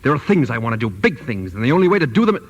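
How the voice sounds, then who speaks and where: An actor playing a scheming, impatient young executive in an old-time radio drama, domineering and persuasive in manner. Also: male voice, mid-range voice, big pitch swings, very fast, breathy edge, dull audio. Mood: smug scheming.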